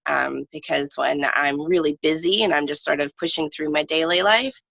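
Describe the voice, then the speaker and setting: Low, bright-voiced woman in an echo-free, dull recording. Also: moderate pace, gravelly. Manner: hesitant, thoughtful, searching for words. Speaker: interview guest. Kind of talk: casual reflective conversation. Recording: slightly dull, echoey room audio.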